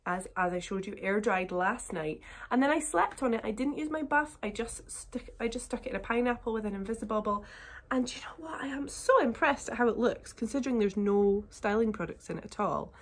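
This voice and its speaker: A British woman in her thirties with a slightly croaky, blocked voice from a cold, chatting to viewers in a casual hair tutorial vlog from her bedroom. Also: fairly high pitch, animated, brisk. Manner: chatty, upbeat, talks to viewers. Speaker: curly hair YouTuber. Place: bedroom, indoors, small room.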